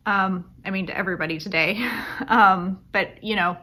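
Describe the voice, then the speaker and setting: A woman's voice: medium pitch, steady tone, moderate pace, bright, forceful tone. A woman author chatting casually about her book, with many ums and restarts. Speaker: young adult author. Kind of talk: casual self-recorded video.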